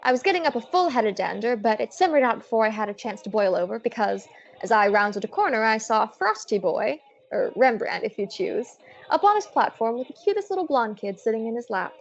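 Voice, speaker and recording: A woman's voice: on the high side, sing-song, fairly quick, gravelly, muffled recording, heavily acted. A woman playing a wisecracking female private detective who narrates her case in slangy old-time radio style. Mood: indignant. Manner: wry, slangy hard-boiled storytelling.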